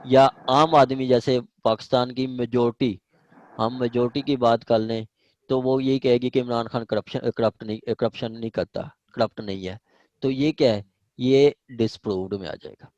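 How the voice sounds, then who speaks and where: A man's voice: medium pitch, steady tone, normal speed, a little mellow, no echo, lo-fi sound. A man with a Pakistani accent lecturing informally as a law teacher, repeating points through examples and often checking that listeners follow. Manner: repetitive, explains by examples with frequent check-in tags.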